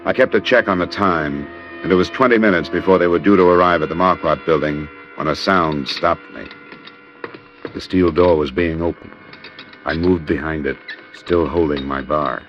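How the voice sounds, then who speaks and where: An American actor playing a terse, deadpan hard-boiled investigator in an old radio crime drama. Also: male voice, low voice, moderate pace, natural voice. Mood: grim, tense. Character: hard-boiled male insurance investigator narrating his case. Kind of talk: 1940s-50s radio crime drama narration. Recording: dull old radio recording, music behind.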